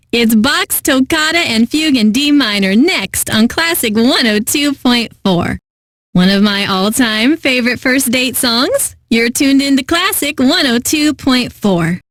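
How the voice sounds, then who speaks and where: An actress playing a refined, eccentric classical radio host in a video game, speaking in a pompous, theatrical way that savors each phrase. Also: female voice, on the high side, dry recording, studio quality.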